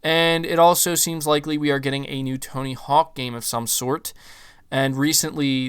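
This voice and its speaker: A young man hosting a gaming podcast, ranting in casual talk with some swearing, his voice starting to go hoarse. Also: mid-range voice, a bit bright. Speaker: podcast host.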